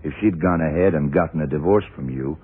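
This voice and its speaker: An actor playing a blunt, self-assured police detective in a 1970s radio drama, questioning deliberately and methodically. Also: male voice, a bass voice, breathy edge, phone-quality sound. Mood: accusing, assured. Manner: deliberate, probing, punctuated with yeah and right. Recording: noisy, band-limited old radio recording.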